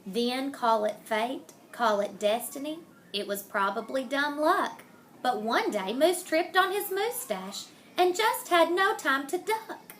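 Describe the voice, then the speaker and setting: A woman reading a children's picture book aloud in a dramatic, deliberate manner, savoring the rhymes and wordplay. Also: high-pitched, expressive, fairly bright tone, a little hiss or hum. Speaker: storybook reader.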